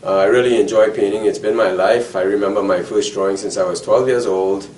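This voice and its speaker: A man's voice: lowish voice, steady tone, a little fast, a little mellow. A man in his fifties from Trinidad talking casually about his painting. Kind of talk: casual talk to camera about his work. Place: indoor gallery or studio. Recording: roomy, distant mic, faint noise.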